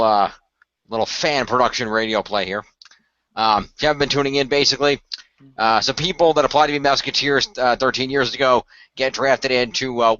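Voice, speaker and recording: A man's voice: medium pitch, sharp tone, muffled recording. A man hosting and narrating an amateur fan radio play in a casual way.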